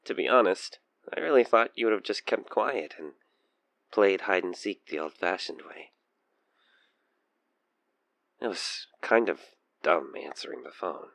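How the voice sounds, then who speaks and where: A man playing an obsessed, manipulative killer who coaxes the listener in an intimate audio roleplay, with halting, breathy delivery that slips into whispers. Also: sits low, expressive, fairly bright tone, no echo, exaggerated voice. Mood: smug, taunting. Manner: hesitant, halting, breathy sighs and whispers sliding into menace. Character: obsessed male killer, coaxing and manipulative, feigning frightened innocence.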